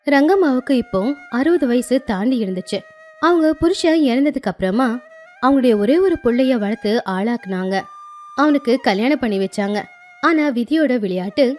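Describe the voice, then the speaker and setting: Woman storyteller, higher-pitched, reading scripted village moral tales with music behind her. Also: darkish tone. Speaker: storyteller narrator.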